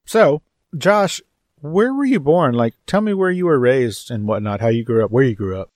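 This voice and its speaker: A man's voice: mid-range voice, animated, moderate pace, darkish tone, no echo. Man hosting a podcast, dry close sound. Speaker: podcast host. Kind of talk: interview and scripted episode introduction.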